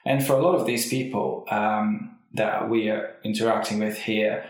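Man, croaky and fairly low, measured and hesitant, very echoey room. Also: fairly even intonation. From Brazil. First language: Portuguese. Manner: thoughtful, hesitant with frequent ums. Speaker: language school director. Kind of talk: casual interview. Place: language school.

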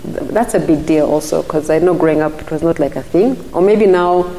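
A middle-aged Ugandan woman, a guest in an interview, talking thoughtfully with frequent restarts and soft murmurs of agreement. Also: deep voice, a little fast, in a large hall, slight background noise, poor audio. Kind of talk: casual interview talk.

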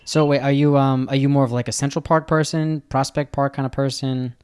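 A male podcast host in a loose, casual interview. He talks at an ordinary pitch for a man and rambles, stopping and restarting his questions as he goes. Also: level tone, fairly quick, a little mellow, smooth.

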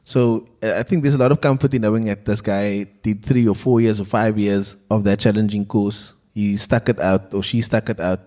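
A man's voice: lowish voice, fairly even intonation, moderate pace, few pauses, dark tone. A South African man whose first language is Afrikaans, co-hosting a podcast in casual chat and speaking bluntly with frequent swearing. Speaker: podcast co-host.

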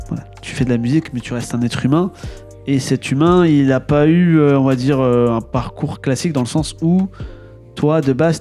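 A man hosts a casual interview in a warm, relaxed voice at a middle pitch. He circles his questions, repeating and rewording them conversationally. Faint music plays behind him. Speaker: podcast host interviewing a guest.

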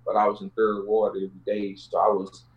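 A man with a fairly deep voice and a Houston Southern accent speaks as an interview guest. He tells personal stories in casual, streetwise language. The audio is a little muffled and lo-fi. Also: completely flat delivery, fairly quick. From Houston, Texas, United States. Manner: colloquial, streetwise slang.

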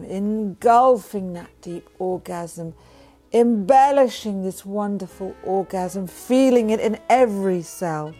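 A woman reading a sensual guided meditation in a breathy voice that often drops into a whisper. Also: on the low side. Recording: voice over soft background music. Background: music.

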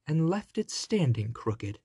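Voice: mid-range voice, big pitch swings, slow, dark tone, husky, no room sound, clean studio sound, pitched above the performer's own voice, exaggerated voice. A storyteller voicing a middle-sized, proper and concerned mother bear from a children's storybook. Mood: put out.

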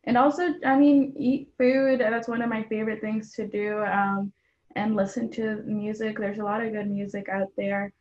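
A woman's voice: mid-range voice, fairly even intonation, normal speed, darkish tone. Young woman guest, earnest, lots of ums, dull lo-fi recording. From Mexican household in Atlanta, Georgia. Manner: earnest, frequent ums and you knows. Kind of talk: casual interview answers.

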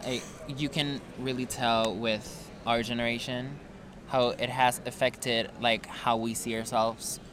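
A man's voice: medium pitch, flat delivery, unhurried, background noise. Frank young man, guest on a casual podcast, laughs a lot, outdoors. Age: 20s. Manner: frank, laughs often, swears. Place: outdoors in a park.

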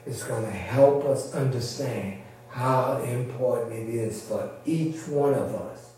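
A man's voice: medium pitch, takes it slowly, dark tone, slight background noise. A man preaching in a folksy, conversational way, repeating points for emphasis in a sermon style. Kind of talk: sermon teaching with repetition and calls for amen. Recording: very echoey room, distant mic.

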